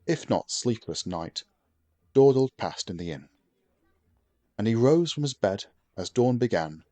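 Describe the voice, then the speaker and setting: A man giving scripted fantasy story narration as an audiobook narrator, with a steady, even storytelling delivery. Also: sits low, dry recording.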